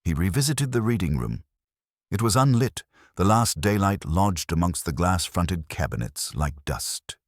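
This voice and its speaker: A man narrating a mystery story from a script in a measured, precise, literary manner. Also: low voice, expressive, no echo, clean studio sound.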